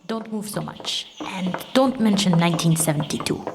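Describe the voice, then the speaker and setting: Actress as a brisk, cautioning resistance escort, noisy background. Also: female voice, fairly low pitch, lightly acted. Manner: brisk, instructing. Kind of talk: audio drama acting.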